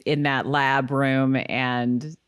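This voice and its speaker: Female podcast co-host, noticeably low voice, loose and jokey conversational recap delivery. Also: little pitch movement, very slow, smooth. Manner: jokey, acts out quoted lines. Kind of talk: casual TV recap chat.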